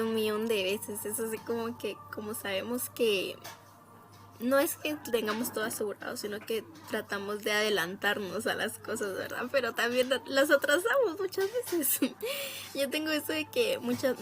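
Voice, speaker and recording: A woman's voice: fairly high pitch, animated, a bit bright. Bubbly young Guatemalan woman. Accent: Guatemalan Spanish. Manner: bubbly, quick to laugh. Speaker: podcast co-host.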